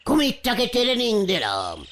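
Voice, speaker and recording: Woman voicing a boastful, animated tall-tale-telling uncle. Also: fairly high pitch, moderate pace. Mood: dramatic storytelling. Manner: bragging, dramatic, bantering. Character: boastful uncle spinning tall tales, scolding and proud. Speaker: storyteller character. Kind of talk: animated cartoon storytelling. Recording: music under the voice.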